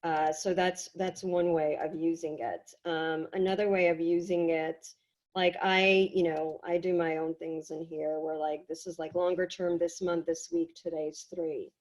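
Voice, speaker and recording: A woman giving a casual software tutorial, explaining in a halting, conversational way, slightly hoarse from a cold. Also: lowish voice, level tone, low-quality recording. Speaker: workshop presenter. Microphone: video call.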